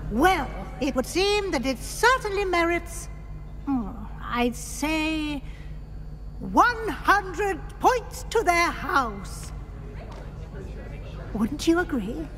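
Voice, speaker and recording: An actress playing a poised, politely firm professor in a video game, speaking formally and deliberately. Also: female voice, high voice, sing-song, a bit bright, big-room sound, faint music behind, exaggerated voice. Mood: poised, persuasive. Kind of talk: video game character acting.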